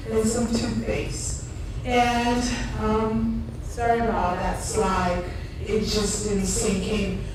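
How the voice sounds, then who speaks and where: A woman from Cameroon with a Cameroonian accent, giving a chatty conference talk and joking with the audience. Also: fairly high pitch. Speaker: conference presenter. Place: conference room. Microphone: handheld or stand microphone in a room. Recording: distant echoey room recording with noise.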